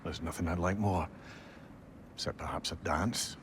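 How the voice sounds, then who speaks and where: A man's voice: deep voice, moderate pace, slight background noise, close to an everyday voice. A man voicing a witty, affectionate older advisor and storyteller in a video game, with a wry, playful delivery. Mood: tender, teasing. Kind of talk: cinematic video game acting.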